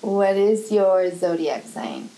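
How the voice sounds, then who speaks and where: Animated woman co-host, very lively pitch. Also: takes it slowly, low-quality recording. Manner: animated, quotes herself in squeaky voices. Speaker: podcast co-host. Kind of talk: casual chat.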